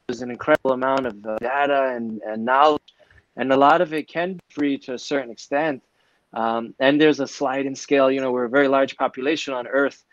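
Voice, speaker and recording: Therapist guest, new yorker, rambling with fillers, dry muffled video call audio, forties. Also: male voice, mid-range voice, dry recording. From New York City. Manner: warm, rambling, many fillers and restarts. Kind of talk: conversational interview answers. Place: indoor office, video call. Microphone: earbuds on a video call.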